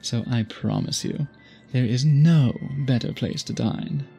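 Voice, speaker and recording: A man playing an obsessive, tender vampire suitor in an intimate ASMR roleplay, speaking in formal, gently doting phrases. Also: mid-range voice, a little slow, vocal fry, soft background music. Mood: doting, courtly.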